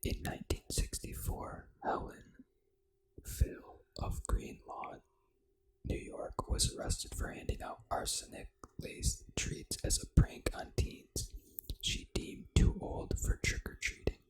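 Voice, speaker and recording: An ASMR gaming host, reading trivia in a breathy, unvoiced whisper with casual, informal asides. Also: poor audio. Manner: casual, informal, unhurried reactions to what is read.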